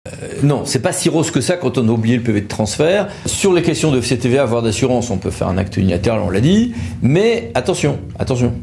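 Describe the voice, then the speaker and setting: A man's voice: medium pitch, very animated, fast, vocal fry, a little mellow. A man explains legal matters to camera in a conversational, loosely improvised way. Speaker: lawyer presenting an explainer video.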